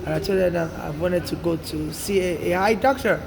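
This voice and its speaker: Nigerian man, high gravelly voice, rambling earnest monologue, traffic noise behind. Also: a little fast. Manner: earnest, rambling, frequent restarts and "you know". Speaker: storyteller recounting personal experience. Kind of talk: casual first-person monologue.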